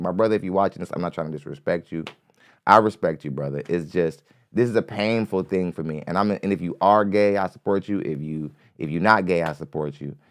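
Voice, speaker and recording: Male podcast host, light-voiced, rambling and profane, smooth tone, close dry mic. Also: low-pitched, dry recording. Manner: rambling, repeats himself, curses freely. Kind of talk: casual commentary on a clip. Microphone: studio mic.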